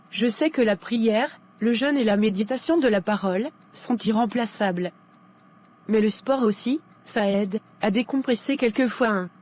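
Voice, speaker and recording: Woman acting a high-voiced, caring young friend. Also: darkish tone, lightly acted. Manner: reassuring.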